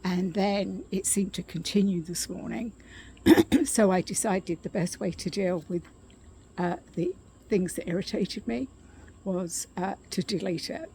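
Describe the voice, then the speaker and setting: Woman, middle-aged, scratchy gravelly voice, low-ish, casual outdoor chat, throat clearing, faint ambience. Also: unhurried. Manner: soft-spoken, frequent throat clearing and ums. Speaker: vlogger. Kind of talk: casual spoken update. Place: outdoors at her condo, quiet yard with birds.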